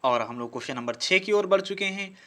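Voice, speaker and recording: Higher-pitched man teaching. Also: lively intonation, fast, fairly bright tone. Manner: explanatory, poses questions and answers them himself. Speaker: teacher on an exam-prep class channel. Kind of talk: exam lesson, walking through practice questions.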